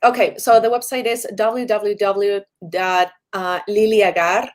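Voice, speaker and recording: A woman's voice: mid-range voice, fairly even intonation, takes it slowly. A woman artist on a video call, speaking careful English as a learner. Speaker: featured artist.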